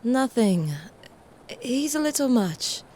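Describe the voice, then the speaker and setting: A woman's voice: sits high, animated, slow, darkish tone, a little hiss or hum. An actress playing a reserved, dry office worker in her thirties, in a naturalistic audio drama. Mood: guarded. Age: 30s.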